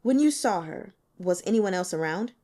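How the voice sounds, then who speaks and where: A woman's voice: medium pitch, lively intonation, moderate pace, warm tone, natural voice. A woman voicing a blunt, stern male police investigator in an audiobook, pressing with pointed questions. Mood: probing. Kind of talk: audiobook character dialogue.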